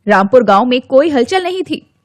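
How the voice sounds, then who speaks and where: A woman storyteller reads a scripted children's tale. Her voice is high-pitched and swoops expressively, with a dry studio sound. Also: sing-song, moderate pace, no echo.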